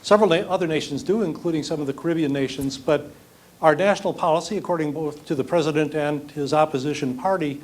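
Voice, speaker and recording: Measured man with a slightly high voice. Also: darkish tone. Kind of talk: public forum discussion.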